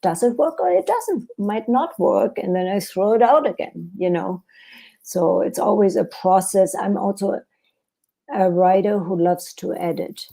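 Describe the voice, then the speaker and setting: Author guest: German-accented woman, unhurried, gravelly, low-key, thoughtful. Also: lively intonation, mellow. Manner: thoughtful, hesitant with fillers and restarts. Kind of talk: podcast interview.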